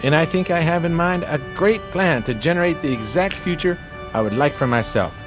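A man's voice: a little high, lively intonation, a little fast, close to an everyday voice. An actor playing a grandiose, dreamy homeless man with florid, theatrical speech in a radio play. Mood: resolute. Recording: music playing behind the voice.

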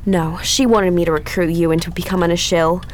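A woman's voice: fairly low pitch, fairly even intonation, fairly quick, slight background noise, lightly acted. An actress playing a frightened, sugary Southern married woman in a radio drama, with a folksy, hesitant way of talking. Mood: ashamed. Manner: fluttery, folksy, hesitant stammer. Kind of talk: old-time radio drama acting.

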